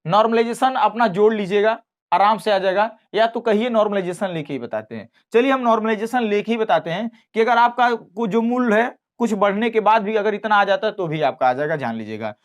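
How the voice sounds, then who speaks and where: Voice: medium pitch, lively intonation, normal speed. An exam-prep teacher giving an informal explainer, speaking emphatically and repeating key phrases with assuring tags. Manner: repetitive, emphatic, with recurring assuring tag phrases.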